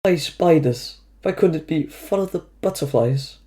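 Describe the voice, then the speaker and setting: A nervous schoolboy played by a woman in an audio drama, forever grumbling and fretting. The voice sits low for a woman and has a dark, mellow tone. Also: unhurried, faint music behind. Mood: anxious. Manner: anxious, complaining. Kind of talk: fan audio drama acting.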